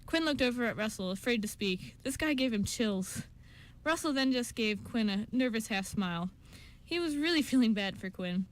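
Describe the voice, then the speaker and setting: A woman with a slightly high voice narrates a scripted radio story. She reads in an amateur way, occasionally stumbling on a word. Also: expressive, normal speed. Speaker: narrator and voice actor on a radio show.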